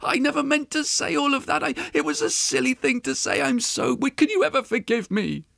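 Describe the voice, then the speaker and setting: A man's voice: very high-pitched, expressive, brisk, gravelly, fairly bright tone, no room sound, studio quality, voice pushed up, heavily acted. A man voicing a flustered, apologetic father who stammers and pleads with his daughter in an oral folk tale. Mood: pleading, sorry.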